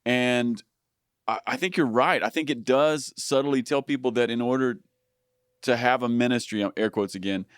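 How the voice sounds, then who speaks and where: Earnest man in his forties, smooth voice. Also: medium pitch, normal speed, no echo, studio quality. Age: 40s. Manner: earnest and encouraging, long wordy questions. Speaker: podcast host. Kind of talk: interview.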